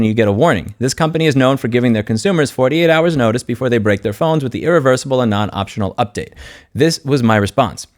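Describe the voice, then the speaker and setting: A man's voice: medium pitch, darkish tone, no room sound, studio quality. A man hosting an informal commentary video, speaking in a blunt, sardonic and opinionated way. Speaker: video host, consumer rights commentator.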